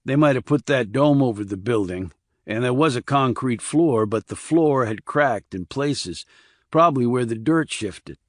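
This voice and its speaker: A man voicing a weary, rough-edged small-town man in an audiobook, talking in clipped, colloquial, blunt phrases. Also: mid-range voice, expressive, normal speed, dry recording, clean studio sound, lightly acted. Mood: reflective.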